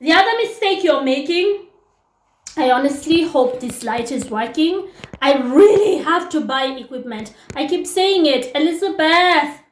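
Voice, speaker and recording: High-voiced woman giving chatty advice. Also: expressive, measured pace. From Kenya. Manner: chatty, teasing, direct. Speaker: dating advice vlogger. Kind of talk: casual advice monologue to camera. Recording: echoey room.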